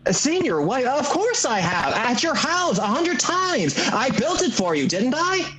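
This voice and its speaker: A man acts a flustered family man in a radio drama, his pitch swinging widely. He stammers and hesitates, bewildered and desperate, with faint noise and clicks on the recording. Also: sing-song, unhurried, a bit bright, full character voice. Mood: agitated. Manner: stammering, hesitant, full of uhs.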